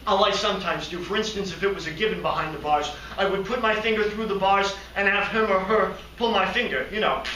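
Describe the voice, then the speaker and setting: A man playing an odd, naively earnest hotel receptionist who rambles and daydreams in a halting, excitable comic stage monologue. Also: high-pitched. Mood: confiding. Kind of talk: theatrical comic monologue performance. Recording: roomy, distant mic, faint noise.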